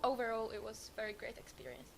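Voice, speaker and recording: A woman's voice: fairly high pitch, flat delivery, fast, poor audio. A young woman with a Polish accent answering casually as an exchange student guest. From Poland. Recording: clear music playing behind.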